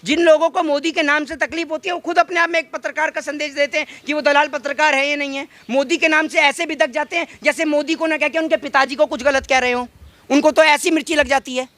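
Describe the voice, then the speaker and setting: A man's voice: very high voice, fairly even intonation, fast, bright, forceful tone, dry recording. A man arguing politics in a heated impromptu debate, insistent and repeating phrases for emphasis. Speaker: interviewee arguing political views.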